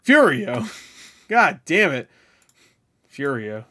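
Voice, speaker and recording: A man's voice: medium pitch, very animated, drawn-out words, background noise. A man giving casual reaction commentary as a host, with slangy, jokey, sweary talk.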